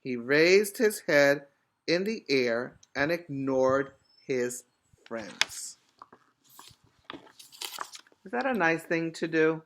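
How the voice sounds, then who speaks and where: Man as preschool teacher reading to little ones: slow, emphatic, bright delivery, stops to explain and ask questions. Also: a little high, expressive. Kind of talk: expressive storybook read-aloud with asides to children.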